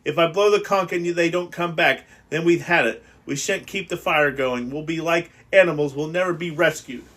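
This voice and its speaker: A man's voice: a little high, moderate pace, a bit bright, pitched above the performer's own voice. A man reading aloud and voicing an earnest boy leader, worried and urgent.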